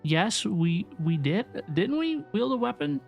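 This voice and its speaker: A woman's voice: mid-range voice, very animated, measured pace, vocal fry. A woman giving casual, chatty playthrough commentary as a livestreamer, often repeating phrases for emphasis. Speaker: video game livestreamer. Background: soft game music.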